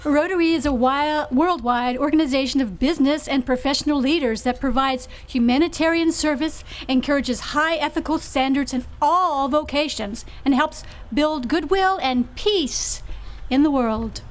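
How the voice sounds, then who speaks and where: High-voiced woman reading an ad. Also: normal speed. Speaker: sponsor ad reader. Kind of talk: read-aloud radio commercial.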